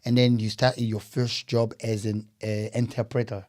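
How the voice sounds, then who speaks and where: A man's voice: medium pitch, little pitch movement, normal speed, darkish tone, no echo, clean studio sound. A man hosting a podcast interview in casual chat, recapping his guest's story and cheering her on with "nice, nice". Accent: Scottish. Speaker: podcast host.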